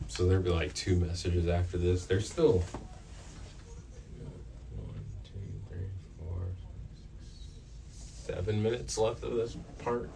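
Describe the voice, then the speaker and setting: A low-pitched man chats as a student radio host, casual and unscripted. He feels his way hesitantly through his thoughts. The mic sounds a little distant, with faint noise behind him. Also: fairly even intonation, slow pace, gravelly, long pauses, mellow. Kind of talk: casual off-the-cuff interview chat.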